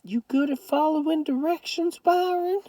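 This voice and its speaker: A woman voicing a loud, bossy elderly grandmother with a Southern accent. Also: sits high, moderate pace, no room sound, pitched above the performer's own voice, close to an everyday voice. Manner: shouting, weepy, domineering.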